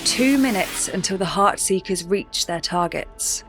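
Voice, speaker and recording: A woman performing composed, measured scripted narration of a fleet battle for a sci-fi audio drama. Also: on the low side, animated, music in the background. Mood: composed, serious.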